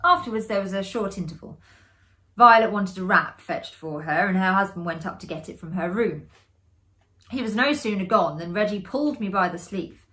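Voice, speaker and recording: A woman's voice: medium pitch, animated, moderate pace, bright, forceful tone. Woman reading a ghost story dramatically. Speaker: amateur actor reading a classic story.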